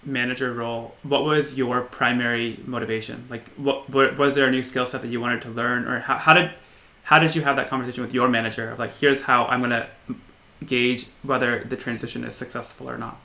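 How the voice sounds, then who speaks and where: A male host in his thirties talks quickly, in a bright, forward voice. He asks long, winding questions in an upbeat, casual way over a video call from home. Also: mid-range voice, level tone. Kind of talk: casual interview talk.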